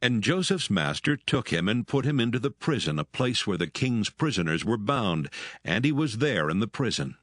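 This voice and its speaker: Man narrating an audio bible, solemn and measured, on the deep side, really melodic, close dry sound. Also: sing-song, fairly bright tone, dry recording. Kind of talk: dramatised scripture narration.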